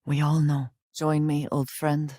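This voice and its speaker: An actress playing a guarded, solemn woman spacefarer in a video game, speaking in measured, formal phrases. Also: low-pitched, little pitch movement, dark tone, no room sound, clean studio sound. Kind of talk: video game character dialogue.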